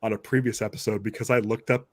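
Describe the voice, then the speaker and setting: A man's voice: lowish voice, flat delivery, fast. A man hosting a podcast in casual, informal chat, telling things loosely and conversationally. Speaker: podcast host.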